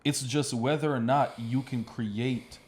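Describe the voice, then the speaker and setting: A man's voice: medium pitch, fairly even intonation, unhurried, a little mellow. A man hosting a podcast in casual conversation, speaking in an informal, slangy way. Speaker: podcast host. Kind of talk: casual chat.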